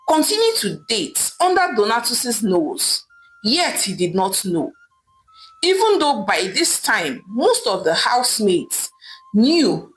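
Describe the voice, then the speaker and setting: A woman's voice: medium pitch, fairly bright tone, rough recording. A woman with a Nigerian accent narrating a scripted story as a storyteller.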